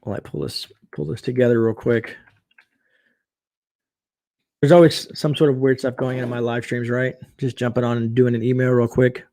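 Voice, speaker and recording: A man hosting a casual late-night livestream, chatting and reading viewer comments aloud, his voice getting hoarse. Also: mid-range voice, level tone, moderate pace, pauses often, warm tone. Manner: chatty, reads viewer comments aloud, frequent fillers and laughs. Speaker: livestream host.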